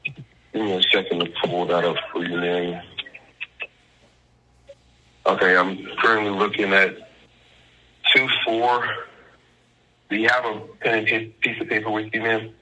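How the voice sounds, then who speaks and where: Forceful, formal man on the phone. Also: lowish voice, level tone, unhurried, pauses often. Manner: formal, insistent, repeatedly addressing listener as ma'am. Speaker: scam phone caller posing as a court official. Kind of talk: official-sounding phone call about legal matters. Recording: telephone line with faint noise.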